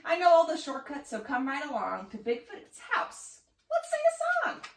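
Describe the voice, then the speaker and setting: A friendly banana slug guide from a storybook, voiced by a woman. The voice shoots up very high and lilts eagerly. It is chirpy and helpful, with a roomy, distant sound. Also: wildly swooping pitch, moderate pace, full character voice. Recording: echoey room, distant mic.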